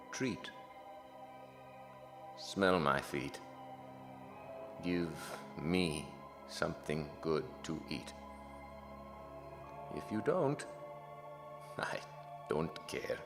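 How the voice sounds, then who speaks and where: A grandiose, menacing announcer for a horror radio play. His delivery swings dramatically between hushed lows and big highs, taken slowly. Music plays underneath and the voice rings in a long hall. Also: exaggerated voice. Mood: ominous, solemn. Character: pompous, theatrical horror-show announcer, solemn then menacing.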